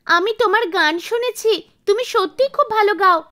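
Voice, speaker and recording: Woman as a very high-voiced eager little girl. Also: normal speed, bright, forceful tone. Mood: eager, shy. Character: little seven-year-old girl, eager, polite music pupil.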